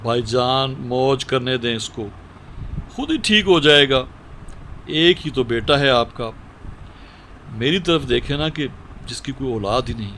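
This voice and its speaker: An actor playing a kindly, indulgent village uncle, speaking in a friendly, placating way in an Urdu radio drama. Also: male voice, medium pitch, expressive, a little slow, fairly bright tone, a little hiss or hum. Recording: sounds distant from the microphone.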